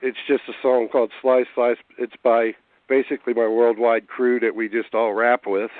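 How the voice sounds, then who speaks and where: A hoarse man rambles easily through his news, with plenty of fillers. His voice comes through a tinny phone line with a dry, close sound. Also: medium pitch, little pitch movement, normal speed, no room sound. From Cincinnati area. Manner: laid-back, rambling with fillers. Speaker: guest rapper. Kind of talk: casual interview over phone.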